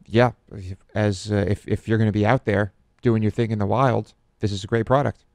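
A man's voice: lowish voice, steady tone, normal speed, vocal fry, a little mellow, no room sound. A young man, the co-host, answering briefly in a laid-back, casual way on a studio mic. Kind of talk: casual chat. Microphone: headset with studio mic.

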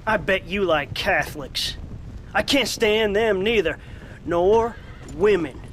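Western game role: old American storekeeper, bigoted crank, shrill and high, folksy righteous ranter. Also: male voice, slow, fairly bright tone, slight background noise, low-quality recording. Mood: indignant ranting. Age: older. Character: bigoted, paranoid, cranky old frontier shopkeeper. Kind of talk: video game cutscene acting.

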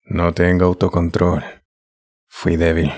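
Man playing a deep, deadpan, weary teacher. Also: takes it slowly, no echo, clean studio sound. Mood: resigned. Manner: deadpan, resigned. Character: weary, gloomy, deadpan adult male teacher.

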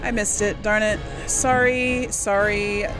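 A woman's voice: medium pitch, steady tone, takes it slowly. A woman giving casual gameplay commentary, talking herself through the game in a mildly exasperated way. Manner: self-narrating, mildly exasperated. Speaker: gaming video host playing and commenting. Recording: loud game music behind voice. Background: loud video game music and battle sounds.

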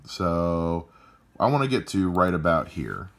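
A man with a loud voice calmly walking through how he edits a podcast episode, step by step. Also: low voice, a little slow. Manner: calm step-by-step explaining. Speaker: podcast editor. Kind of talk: explaining a screen-recorded editing walkthrough.

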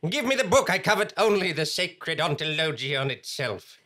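A man playing an impatient, grandiose feudal villain in a live comedy radio play, haltingly pompous and flustered. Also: fairly high pitch, very animated, bright, forceful tone. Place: small fringe theatre stage, indoors. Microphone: stand microphone.

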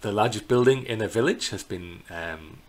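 A middle-aged man giving an unscripted slideshow narration as a tour guide, in a hesitant, halting way, recorded indoors on a computer microphone. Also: fairly low pitch, lively intonation. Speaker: bird tour guide presenting a virtual tour. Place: indoors in a small plain room. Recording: clear background noise.

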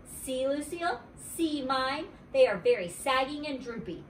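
A woman voicing a bold, chatty little girl with a high, wheedling, bouncy child voice. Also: animated, unhurried. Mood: matter-of-fact. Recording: roomy, distant mic, faint noise.

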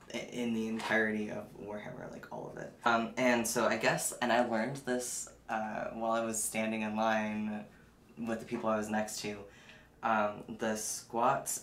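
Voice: mid-range voice, a bit bright. Echoey young adult vlogger, casual and chatty. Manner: informal, chatty. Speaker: vlogger presenting a shopping haul. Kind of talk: casual unboxing chat to camera. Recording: roomy echo, clear background noise, clicks.

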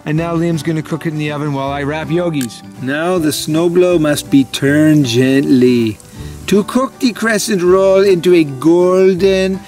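A man's voice: fairly high pitch, unhurried, darkish tone, faint music behind. A man in his forties narrating a casual family camping vlog outdoors, joking and playful, holding the camera at arm's length. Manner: jokey, playful. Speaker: vlogger dad. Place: outdoor wooded campsite. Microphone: handheld camera at arm's length.

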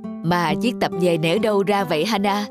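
A man's voice: high-pitched, normal speed, natural voice. A man voicing a weary widowed father in a cartoon, subdued and reflective in his grief. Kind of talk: cartoon voice acting. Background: music.

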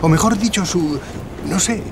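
An actor playing a courteous, flattering movie magnate in an audio drama, formal and a little hesitant. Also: male voice, high-pitched, normal speed, background noise. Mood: gallant, amused.

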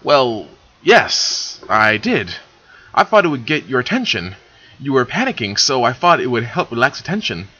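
A playful, teasing male stallion in a storybook reading, as a man voices him. Sly and affectionate, with a bright tone and a dull, lo-fi sound. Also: medium pitch, very animated, moderate pace, breathy edge, heavily acted.

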